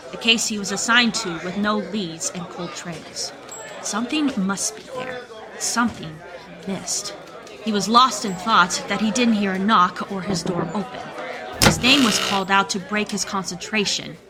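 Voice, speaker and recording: A woman narrating a scripted radio drama in a storytelling style. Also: mid-range voice. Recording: music and crowd sounds behind. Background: music and crowd bed.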